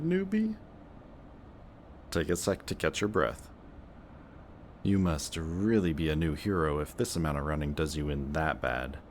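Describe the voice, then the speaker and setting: A man's voice: very deep voice, noisy background, heavily acted. A young man playing a cocky, sarcastic antihero villain with a secretly kind streak, talking in casual, teasing banter in a roleplay audio drama. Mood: playful, excited. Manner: casual, sardonic, teasing banter with muttered asides.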